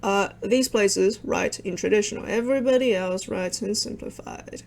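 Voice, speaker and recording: Rambling wry young woman with gravelly fry. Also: medium pitch, moderate pace, a little hiss or hum. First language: Cantonese. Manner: rambling, self-interrupting, wry asides. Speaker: video creator explaining with maps. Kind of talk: casual informal explainer over maps.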